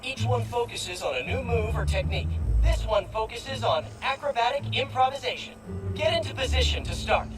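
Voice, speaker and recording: A man's voice: extremely high pitch, normal speed, distant mic, music in the background. An actor playing a quippy male mentor who gives jokey, instructive training directions.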